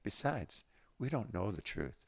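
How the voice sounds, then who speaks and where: Storybook role: heartbroken young immigrant man, cynical and muttering acted by a male narrator, bass voice. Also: sing-song, a little slow, vocal fry, breathy edge, dry recording, exaggerated voice. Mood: bitter, resigned. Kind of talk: storybook dialogue reading.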